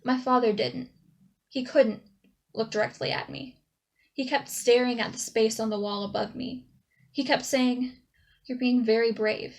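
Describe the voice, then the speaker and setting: Woman acting traumatized young woman, high voice, halting emotional delivery, dramatic pauses, stage monologue. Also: fairly even intonation, creaky. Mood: anguished, tense. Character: traumatized young woman recounting a childhood dog attack.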